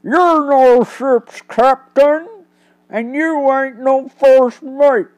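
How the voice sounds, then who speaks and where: Brief: menacing male gang thug, read by a woman, loud and rough. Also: high-pitched, extremely slow, no echo, pitched above the performer's own voice, low-quality recording. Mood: threatening.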